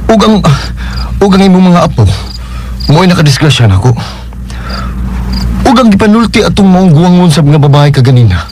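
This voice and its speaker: A man's voice: high voice, lively intonation, normal speed, pauses often, darkish tone, a little hiss or hum. A Filipino man playing an earnest injured man seeking answers in a radio drama. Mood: earnest, pleading. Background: music.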